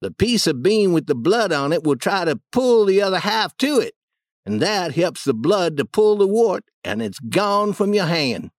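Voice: mid-range voice, big pitch swings, normal speed, dry recording, clean studio sound, voice pushed up, natural voice. A narrator voicing an easygoing, folksy village boy who explains things plainly and confidently in a children's audiobook. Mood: confident, instructive.

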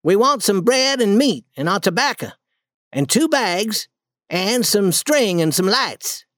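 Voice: medium pitch, big pitch swings, unhurried, fairly bright tone, no echo, clean studio sound, pitched above the performer's own voice. A storybook reader voicing a bold, eager young boy adventurer in a raised voice, confident and persuasive.

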